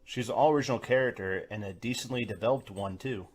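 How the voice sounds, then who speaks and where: A man's voice: medium pitch, fast talker, husky. A man hosting a scripted video review, talking in a colloquial, mildly profane way. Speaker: reviewer, host.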